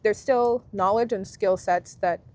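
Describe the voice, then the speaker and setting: A woman in her twenties from Guyana, a university alumna, talking through her experiences in a relaxed, reflective way as an interview guest. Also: mid-range voice, measured pace. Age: 20s. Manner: reflective, friendly, thinks aloud with fillers. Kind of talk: casual interview chat.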